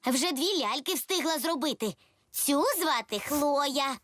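A woman's voice: sits high, expressive, a little slow, fairly bright tone, no echo, clean studio sound. A woman voicing a playful, cheerful little girl piglet in a children's cartoon. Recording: music behind the voice.

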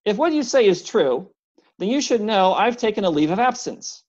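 A woman's voice: mid-range voice, very animated, moderate pace, darkish tone, dull audio, voice pushed up. A woman reading a storybook voicing a wary, irritable male historian who answers curtly and sharply. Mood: curt suspicious. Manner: curt, snapping, suspicious.

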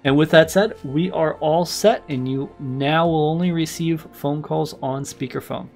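A man's voice: on the high side, a little slow, darkish tone. A man, hosting and narrating a casual tech tutorial. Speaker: tutorial host. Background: background music.

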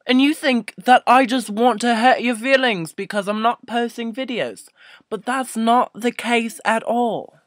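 A man in his twenties telling a comic story to camera as a vlogger, halting and mock-emotional, swelling and hushing, close to a webcam mic in a small room. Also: extremely high pitch, no room sound. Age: 20s. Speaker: video blogger. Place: small furnished room indoors. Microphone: built-in webcam mic up close.

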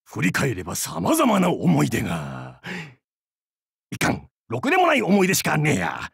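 A gravelly hammy pirate captain. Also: male voice, a little high, huge pitch swings, normal speed, dry recording, studio quality, full character voice. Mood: joking, rambling. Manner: hammy, theatrical, jokey otaku-style speech. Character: boisterous adult male pirate captain, crude and goofy. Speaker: game character voice. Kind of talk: voiced game character lines.

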